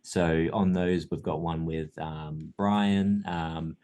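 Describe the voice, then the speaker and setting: A man, a guest from a film distributor, explaining things in a relaxed, chatty way. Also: extremely low pitch, slow pace. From Australia. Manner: relaxed, explanatory, laughs easily. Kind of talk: casual interview chat.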